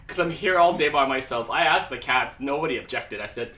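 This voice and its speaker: A man's voice: mid-range voice, fairly quick, bright, forceful tone, echoey room. A man cohosting a casual live chat, joking and rambling and laughing often. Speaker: co-host.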